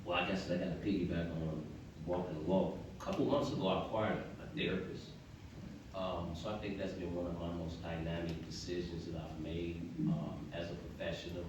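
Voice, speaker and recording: Deep-voiced man on an echoey panel. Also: fairly even intonation, a little fast, noisy background. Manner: emphatic, listing in rhythmic runs. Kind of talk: panel discussion. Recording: echoey room, mic far off.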